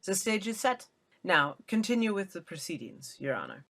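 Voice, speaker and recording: A woman's voice: medium pitch, very animated, moderate pace, a bit bright. A woman voicing a haughty, imperious young female prosecutor in a video game fan dub, formal and disdainful. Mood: menacing.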